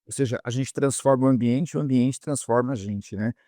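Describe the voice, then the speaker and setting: A man's voice: mid-range voice, a little fast, dark tone, no room sound, clean studio sound. A Brazilian man hosting an interview, thoughtful and hesitant, with many "eh" fillers, who asks long questions. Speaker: interviewer host. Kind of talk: casual interview conversation.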